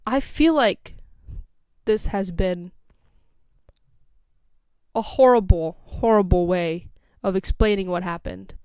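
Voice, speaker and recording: A woman's voice: medium pitch, slow pace, long pauses, darkish tone, clean tone, no echo, clean studio sound. A young woman with an American accent telling a casual, rambling story as a vlogger, full of "like" and "um". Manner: rambling, scatterbrained, lots of "like" and "um".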